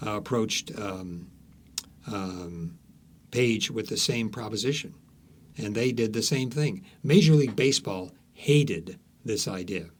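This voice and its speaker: A man's voice: on the low side, unhurried, slight background noise. A man speaking as an author being interviewed, telling stories conversationally with frequent false starts and repeated words.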